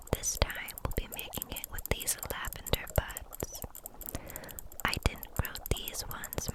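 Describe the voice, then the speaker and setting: A woman speaking in a soft, breathy whisper, gently addressing the listener in an ASMR roleplay as a kind kitchen witch.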